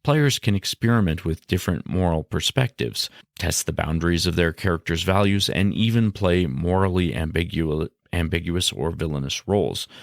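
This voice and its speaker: Very low-voiced man with gravelly creak. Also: moderate pace, few pauses, no room sound, studio quality. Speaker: podcast host. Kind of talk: scripted educational narration.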